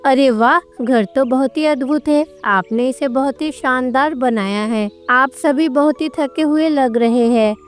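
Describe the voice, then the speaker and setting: Woman acting gentle goddess homemaker, high clear voice, devoted, storybook dialogue, faint music. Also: a little slow, few pauses. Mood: delighted, caring.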